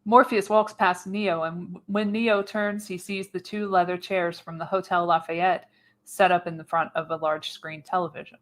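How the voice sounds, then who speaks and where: A woman's voice: on the low side, flat delivery, moderate pace. A woman narrating the stage directions of a screenplay in a radio play reading. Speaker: narrator, podcast host.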